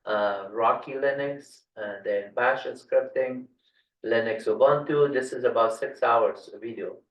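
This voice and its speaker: Man born in Afghanistan, native Dari speaker, hesitant tutorial. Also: medium pitch. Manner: halting, many fillers and restarts. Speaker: tutorial instructor. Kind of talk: screen-share tech tutorial. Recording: roomy, distant, dull lo-fi mic.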